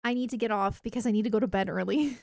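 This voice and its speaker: A woman's voice: medium pitch, quick pace, vocal fry, a little mellow, no echo, clean studio sound. A woman livestreamer chatting casually with her viewers in an enthusiastic, emphatic gamer way. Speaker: video game livestreamer.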